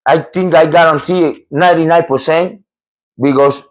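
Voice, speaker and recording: A middle-aged man from Cuba tells a scary true story on his podcast, his voice sitting a little high for a man. He talks with emphatic energy, often repeating himself and restarting sentences as he builds his point. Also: moderate pace. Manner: animated, repetitive, emphatic with restarts. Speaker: podcast host.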